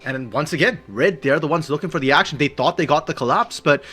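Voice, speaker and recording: A man's voice: a little high, fast, sharp tone, breathy edge, soft background music. A man giving esports colour commentary, explaining strategy at length in an analytical way.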